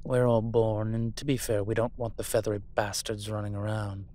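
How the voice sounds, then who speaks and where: A man playing a suave incubus demon professor in a roleplay audio drama, measured, wry and teasing. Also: on the low side, flat delivery, mellow, close to an everyday voice. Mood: measured, scholarly.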